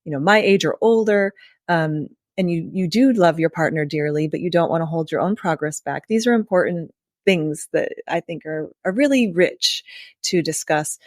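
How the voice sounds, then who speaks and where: A woman's voice: low-pitched, normal speed, no echo. Affirming woman hosting a podcast, dry. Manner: affirming, conversational, builds on the guest's points. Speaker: podcast host. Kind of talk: podcast interview.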